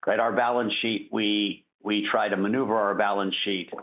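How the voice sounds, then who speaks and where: A man's voice: on the low side, fairly even intonation, normal speed. A man speaking as a company executive, answering earnings-call questions in a conversational, informal way. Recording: dull conference-call audio.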